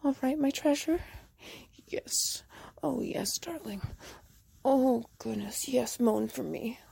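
Woman whispering real slow and breathy, kinda gravelly, dry close sound, sweet coaxing tone. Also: fairly high pitch, mellow, dry recording. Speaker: roleplay performer. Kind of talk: whispered intimate roleplay ASMR.

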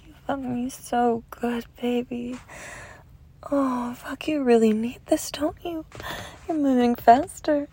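A woman in an intimate erotic roleplay, coaxing and teasingly dominant, with a breathy voice that often drops to a whisper amid sighs and moans. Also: a little high, dark tone. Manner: moaning, sighing, slow sultry coaxing. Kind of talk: erotic audio roleplay, intimate partner address.

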